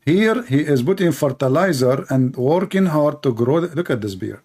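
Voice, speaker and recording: A man's voice: a little high, expressive, moderate pace, darkish tone, husky. A man, hosting a commentary show and mocking video clips in a sarcastic, rambling way with many fillers.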